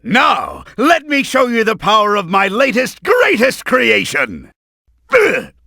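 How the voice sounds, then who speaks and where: A man's voice: very high-pitched, huge pitch swings, slow pace, vocal fry, bright, forceful tone, no echo, studio quality, heavily acted. A man voicing a bombastic, scheming middle-aged mad-scientist villain in a video game, theatrical and blustering, with a hot temper. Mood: angry, threatening.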